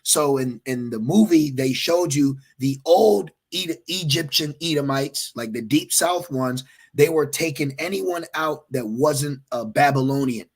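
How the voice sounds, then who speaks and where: Husky-voiced man preaching emphatically. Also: a little high, measured pace. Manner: emphatic, repetitive, frequent fillers. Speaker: religious teacher. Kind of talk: preaching commentary.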